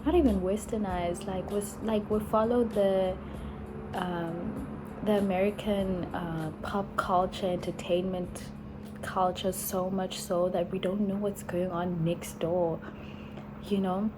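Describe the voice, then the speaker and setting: Slow, musing young woman. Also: mid-range voice, fairly even intonation, noisy background. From South Africa. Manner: musing, many likes and sighs. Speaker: vlogger. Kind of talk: reflective casual vlog. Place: in Italy while travelling.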